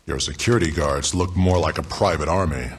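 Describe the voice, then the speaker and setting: An actor playing a big, angry, menacing gang boss, blunt and threatening, in a video game. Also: male voice, a bass voice, brisk, a little hiss or hum. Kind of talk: video game cutscene acting.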